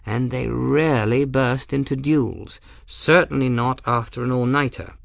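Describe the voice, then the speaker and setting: A man voicing a bookish, distracted middle-aged gentleman in a storybook, mild, wordy and given to absent-minded muttering. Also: medium pitch, big pitch swings, a little slow, vocal fry, dry recording, clean studio sound, pitched above the performer's own voice, full character voice. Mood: mild amusement.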